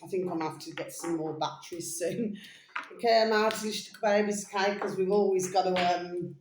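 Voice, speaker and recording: A tired woman video blogger chatting casually and ramblingly to her viewers, pausing often and repeating pet endearments. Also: on the low side, fairly even intonation, a little mellow, a little hiss or hum. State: mentally tired, drained. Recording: echoey, roomy sound.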